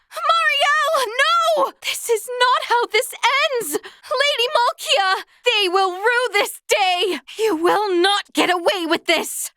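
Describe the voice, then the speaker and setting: A woman's voice: very high-pitched, pushed and bright, dry recording, clean studio sound, heavily acted. A woman playing a noble young swordswoman in a fantasy video game, earnest and resolute, speaking in formal, archaic phrasing. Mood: anguished, desperate. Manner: formal, archaic, solemn diction. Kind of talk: video game battle voice acting.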